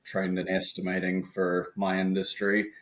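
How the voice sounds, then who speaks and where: A man in his thirties with a New Zealand accent, a guest talking in a reflective, measured way in a casual interview. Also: low voice, completely flat delivery, fairly bright tone. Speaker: guest, company co-founder.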